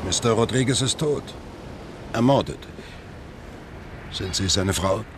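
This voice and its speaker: Stern detective, deep voice, formal questioning, radio drama, light background noise. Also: male voice, expressive, measured pace. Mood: businesslike, grave. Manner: formal, matter-of-fact questioning. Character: actor posing as a stern police detective.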